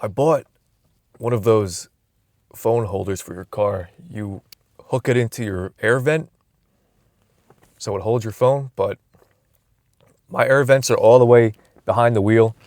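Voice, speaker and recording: A man's voice: fairly low pitch, unhurried, pauses often, darkish tone, no echo. A young man vlogging from inside a car, musing aloud in a loose, rambling way with frequent restarts, recorded on a phone camera. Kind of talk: casual rambling vlog.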